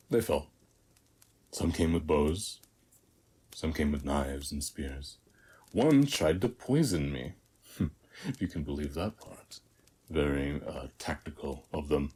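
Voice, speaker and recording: A man playing a weary, battle-hardened warrior of Ares in a scripted audio roleplay, speaking in measured, reflective short phrases. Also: darkish tone, full character voice. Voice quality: very deep, husky, breathy.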